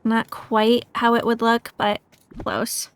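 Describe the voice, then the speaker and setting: A woman's voice: fairly high pitch, level tone, slow, clear, resonant tone. A woman game streamer chatting casually and thinking aloud in a tentative, musing way as she plays. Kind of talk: casual live gaming chat, thinking aloud. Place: indoors, livestream.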